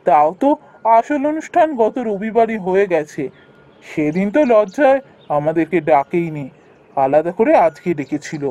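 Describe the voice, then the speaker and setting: A man's voice: high-pitched, very animated, normal speed, dark tone. A man voicing a poor, humble younger village brother in a cartoon, weary and resigned in manner. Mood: hurt. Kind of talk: cartoon voice acting.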